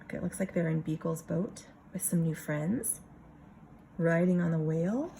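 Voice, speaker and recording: A woman reading a picture book aloud like a kindergarten teacher, expressive, pausing for casual asides to the children. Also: on the low side, gravelly, a little hiss or hum, low-quality recording.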